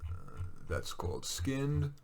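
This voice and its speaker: A man with a raspy, gravelly voice reads his own short stories aloud in a measured, expressive way, with casual asides. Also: mid-range voice, soft background music.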